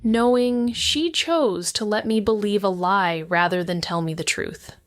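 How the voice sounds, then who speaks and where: An actress as a clear-voiced, wounded, sardonic young woman. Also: mid-range voice, unhurried, no room sound, clean studio sound, lightly acted. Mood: panicky, hurt. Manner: wry, introspective, profane. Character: shaken, wounded, sardonic young woman, med-school dropout. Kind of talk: intimate first-person audio drama monologue.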